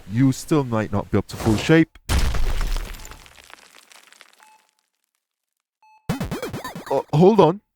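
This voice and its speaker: A man voicing a calm, courteous winged elf in an audio roleplay, speaking formally and patiently to reassure the listener. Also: lowish voice. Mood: urgent, soothing.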